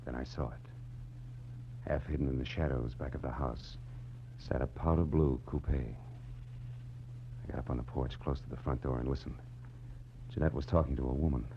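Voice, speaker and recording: Very deep hard-boiled radio detective. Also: male voice, a little hiss or hum. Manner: terse, wry, wisecracking. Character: hard-boiled wisecracking male private detective, weary and tough. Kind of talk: 1940s radio acting, noir first-person narration. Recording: muffled old radio recording, music behind.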